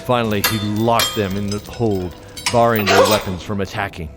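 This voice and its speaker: A man giving dramatic scripted narration of a fantasy tale, measured, pausing between short phrases. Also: lowish voice, fairly even intonation, very noisy. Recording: loud music under the voice.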